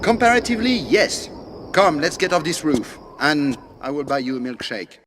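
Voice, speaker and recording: A man voicing a pompous, excitable French villain in a video game, theatrical and condescending, with mock courtesy. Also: fairly high pitch, normal speed, noisy background. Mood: condescending, smug. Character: pompous, excitable French male villain, scheming treasure hunter. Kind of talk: video game cutscene voice acting.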